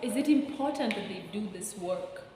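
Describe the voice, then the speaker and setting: A woman's voice: mid-range voice, animated, normal speed. A woman with a Kenyan accent co-hosting a casual review, posing pointed questions and repeating them for emphasis. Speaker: theatre reviewer, co-host. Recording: echoey hall with faint noise.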